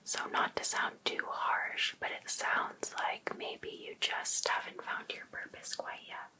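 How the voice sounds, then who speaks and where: Woman playing a slow-whispering, obsessive life coach. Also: pushed and bright. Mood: soothing, probing. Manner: slow, breathy, coaxing whisper addressed to one client. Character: obsessed, jealous female life coach, sweetly pushy and possessive. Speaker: ASMR roleplay performer. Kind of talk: whispered ASMR roleplay.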